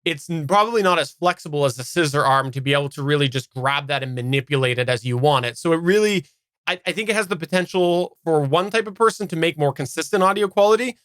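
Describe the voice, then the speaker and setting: A man's voice: fairly high pitch, a bit bright, dry recording, clean studio sound. A man from Canada giving a casual, unscripted product review as a podcast host, explaining in detail and stressing key words.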